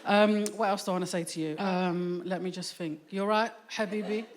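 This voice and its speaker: A cheeky woman tells stand-up jokes in quick street slang. She speaks from a theatre stage, and loud audience noise fills the gaps. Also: medium pitch, steady tone. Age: 40s. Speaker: stand-up comedian. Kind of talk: stand-up set.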